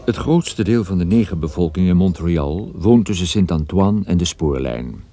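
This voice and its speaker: A man's voice: low voice, expressive, normal speed, a crowd in the background. An actor playing a worldly private detective, narrating his own case in a wry, understated way for a radio drama. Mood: matter-of-fact. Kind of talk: scripted first-person radio drama narration.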